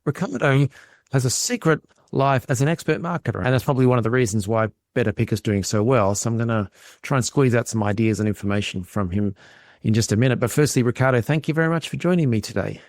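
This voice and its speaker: A man's voice: mid-range voice, fast talker, dry recording, studio quality. A man hosting a conversational interview podcast in a chatty, self-deprecating and appreciative way. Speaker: podcast host.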